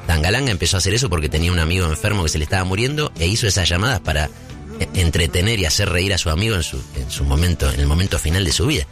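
A man in his forties with a Buenos Aires accent, delivering a casual, rambling comic monologue as if thinking out loud. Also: low voice, lively intonation, racing, over music. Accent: Rioplatense Spanish, Buenos Aires. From Buenos Aires, Argentina. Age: 40s. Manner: rambling, conversational, seeks agreement with tag questions.

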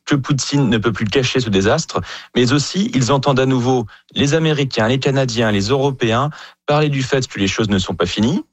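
A man's voice: medium pitch, a little fast, no echo. A man with an accent from France, giving analytical answers as an expert guest. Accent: French from France. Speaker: geopolitics professor, expert guest.

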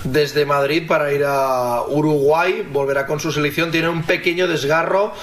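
A man giving a clear news report on a football player for a radio show. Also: fairly high pitch, steady tone, normal speed, a bit bright. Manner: clear, informative.

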